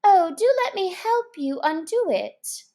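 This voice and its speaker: A high, lilting little girl from a storybook, played by a woman. She is polite, earnest and naive, with a pleading edge to her words. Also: animated, measured pace, warm tone, full character voice.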